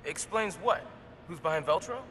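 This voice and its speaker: A man's voice: fairly high pitch, expressive, normal speed, fairly bright tone, a little hiss or hum. A man voicing a brash, impatient soldier sidekick in a video game, blunt, slangy and exasperated. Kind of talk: video game cutscene acting.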